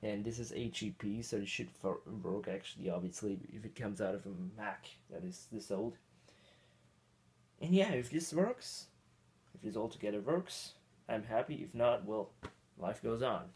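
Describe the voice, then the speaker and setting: A man from Austria talks casually while he works on old hardware at a home bench, with a fairly low voice. He rambles and thinks aloud, chuckling as he goes. Faint room noise sits behind him. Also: expressive, moderate pace, a little mellow. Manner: rambling, self-talk while tinkering, chuckles often. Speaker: vintage computer hobbyist. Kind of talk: casual hands-on tech vlog.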